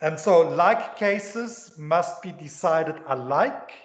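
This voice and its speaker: A man's voice: a little high, lively intonation, slow. A man with a Swiss accent giving a university economics lecture, rambling and restarting his sentences often. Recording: echoey lecture room, dull lo-fi sound.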